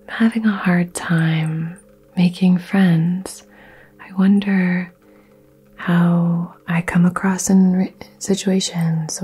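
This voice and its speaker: A woman whispering soothing affirmations in an ASMR session, soft and gentle. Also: on the low side, slightly rough. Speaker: ASMR host.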